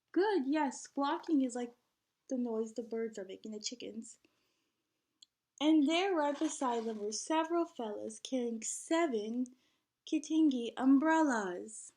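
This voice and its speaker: A woman with a high voice reads a picture book aloud for young children. Her pace is slow and her delivery expressive. She speaks like a teacher, stepping out of the text to question her listeners.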